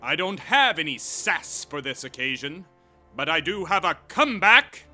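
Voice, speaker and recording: Man playing bright, indignant soldier hero. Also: on the high side, very animated, moderate pace, soft background music, exaggerated voice. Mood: defiant. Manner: indignant, sassy, plain-spoken. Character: big brutish male soldier hero, indignant and smart-mouthed.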